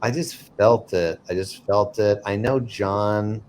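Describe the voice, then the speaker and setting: A man with a fairly low voice, trading casual banter in a party game. He teases playfully over a faintly noisy recording. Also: steady tone, slow, darkish tone. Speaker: panel game player.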